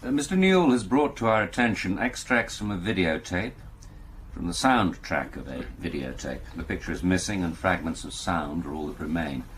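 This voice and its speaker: A grave appeal judge in a radio drama. His voice is low and his delivery formal and measured, with faint noise behind it. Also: animated, a bit bright. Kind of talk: naturalistic radio drama acting.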